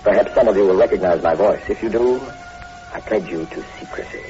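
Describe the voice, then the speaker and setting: A man's voice: low-pitched, fairly quick, warm tone, close to an everyday voice. Man playing ominous old-time radio horror host. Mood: ominous, formal. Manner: solemn, ominous, theatrical, formal. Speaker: host and narrator. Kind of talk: 1940s radio horror narration, scripted. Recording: muffled old radio recording, faint music.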